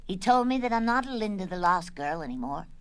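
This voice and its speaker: A woman voicing a bossy, imaginative little girl in a children's storybook, excitable and dramatic in the way she declaims. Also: medium pitch, brisk, smooth, natural voice. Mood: excited, proud. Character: bossy, imaginative little girl putting on grand pretend names.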